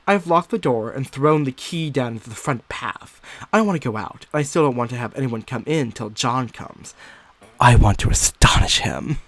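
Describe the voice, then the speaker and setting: A man's voice: medium pitch, fairly bright tone. A man performing an unhinged, secretive married woman who is unravelling, in a theatrical, sly, gleeful gothic monologue. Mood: agitated, scheming. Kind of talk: dramatic first-person gothic story narration.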